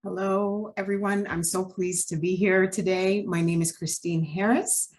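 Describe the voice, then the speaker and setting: A woman's voice: medium pitch, fairly even intonation, normal speed, a little mellow. A woman who is an innovation manager, introducing herself on a video call in an articulate, poised way.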